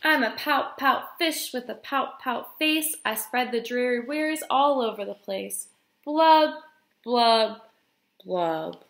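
A woman's voice: on the high side, animated, slow pace, gravelly, a bit bright, echoey room, heavily acted. A woman voicing a gloomy, resigned male fish from a storybook, in mournful singsong rhyme.

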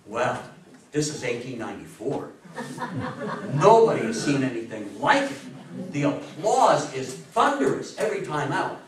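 A man's voice: medium pitch, slight background noise. Echoey, theatrical man giving a talk. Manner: theatrical, emphatic delivery with dramatic pauses and repetition. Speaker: lecturer. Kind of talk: animated public history talk to a live audience. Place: meeting room with a seated audience, indoors. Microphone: distant camera microphone. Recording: echoey room, recorded from far off.

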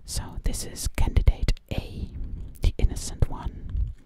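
A woman voicing a sinister, obsessive witch in a close, breathy ASMR roleplay, repeating words hypnotically. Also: measured pace, close to an everyday voice. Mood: gloating, torn. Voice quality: breathy whisper. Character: sinister, obsessive female witch hunting for victims. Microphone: close microphone.

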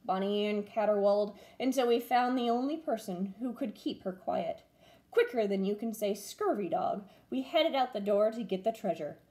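A woman's voice: medium pitch, moderate pace. A woman in her twenties, a teacher, expressively reading a picture book aloud to children at home. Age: 20s. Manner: expressive storytelling. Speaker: first-grade teacher. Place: small room at home, by a window.

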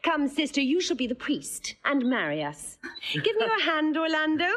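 A woman's voice: sits high, huge pitch swings, a bit bright, exaggerated voice. A woman acting a witty young woman in love, teasing and playful in a stage drama. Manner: playful, quick-witted, teasing. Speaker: actor playing Rosalind.

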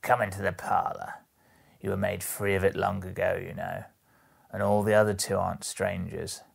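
A man's voice: low-pitched, normal speed, vocal fry, exaggerated voice. A man voicing a miserly old rag-shop dealer in a Victorian storybook, sly, jovial and folksy, with a wheedling, emphatic way of talking. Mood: jovial, welcoming.

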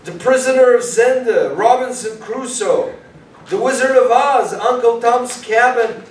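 A man's voice: very high voice, unhurried. Man acting an earnest young detective, distant and roomy. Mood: excited. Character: young male detective, earnest, doubting, immigrant family. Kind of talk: staged play reading, theatrical acting. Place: small indoor performance room with audience. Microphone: far camera. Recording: roomy, distant mic, faint noise.

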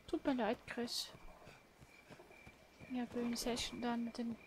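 A woman's voice: fairly high pitch, completely flat delivery, slow, a little hiss or hum. A woman chatting casually as co-commentator during a video game let's play. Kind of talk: casual chat over gameplay.

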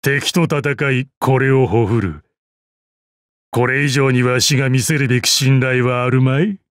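An actor playing a proud, battle-hardened old martial arts master in a game, with gruff dignity, dry amusement and archaic old-man speech. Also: medium pitch, steady tone, unhurried, no room sound, natural voice. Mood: wry, fond. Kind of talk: Japanese mobile game character voice lines.

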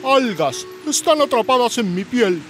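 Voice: mid-range voice, sing-song, unhurried. An actor voicing a big, friendly, plain-spoken polar bear in a children's cartoon. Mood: weary. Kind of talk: animated children's cartoon dubbing. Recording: music behind the voice.

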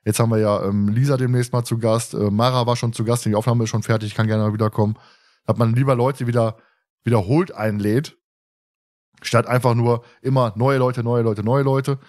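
A man's voice: medium pitch, steady tone, fairly quick, darkish tone. A man hosting a casual fan podcast, talking in a rambling way and often repeating words and phrases. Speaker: podcast host.

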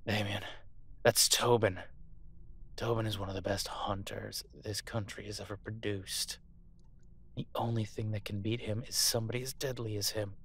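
A man's voice: lowish voice, flat delivery, moderate pace, a bit bright, slightly rough. A man playing a captive werewolf alpha soldier in an audio roleplay, defiant, protective and sardonic as he urgently argues and swears. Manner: profane, sardonic, urgent persuading.

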